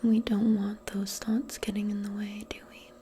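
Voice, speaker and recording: A woman role-playing in soft-spoken ASMR, speaking gently and soothingly in a breathy, mostly whispered voice. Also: mid-range voice, flat delivery, slight background noise. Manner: gentle, soothing, unhurried, conversational. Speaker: ASMR performer role-playing a modern witch.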